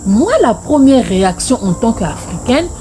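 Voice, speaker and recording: A woman's voice: fairly high pitch, sing-song, normal speed, warm tone, a little hiss or hum. A middle-aged woman with a Congolese French accent tells a personal story casually, repeating phrases for emphasis. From Congo-Brazzaville. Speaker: video storyteller.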